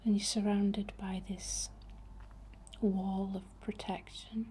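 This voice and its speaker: Young woman whispering a guided relaxation, gentle n breathy, really slow, mellow. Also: medium pitch, little pitch movement, slight background noise. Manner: gentle, unhurried, informal. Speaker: ASMR host guiding a healing session. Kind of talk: whispered ASMR guided meditation.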